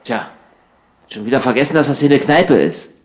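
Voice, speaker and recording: An actor playing a sulky, know-it-all slacker pal in a conversational radio play, grumbling and bickering in slangy, mock-intellectual talk. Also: male voice, medium pitch, animated, brisk, lightly acted. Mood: dismissive.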